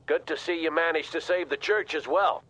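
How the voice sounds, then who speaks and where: An actor playing a gruff, exasperated colonel giving curt orders by radio in a war video game. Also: male voice, fairly high pitch, fairly quick, a bit bright. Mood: approving. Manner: curt, authoritative. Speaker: military commander. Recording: battle noise over the voice. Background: gunfire, artillery and vehicles.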